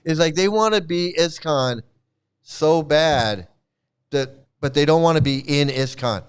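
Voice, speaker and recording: Sarcastic commentator man, clear voice. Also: a little high, measured pace. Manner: sarcastic, laughs often, repeats himself. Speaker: YouTube commentator. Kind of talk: mocking rant over video clips.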